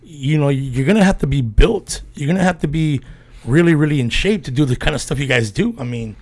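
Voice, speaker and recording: A man's voice: fairly high pitch, brisk, a little mellow. A middle-aged man hosting a podcast, bantering with jokes and swearing. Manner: joking, profane banter. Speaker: podcast host. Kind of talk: casual podcast chat.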